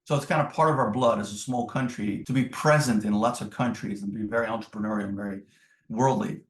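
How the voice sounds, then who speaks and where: Echoey, low-voiced man from the Netherlands. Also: steady tone, fast. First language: Dutch. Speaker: podcast guest, business executive. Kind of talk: conversational interview answers, reminiscing. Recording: roomy, echoing sound.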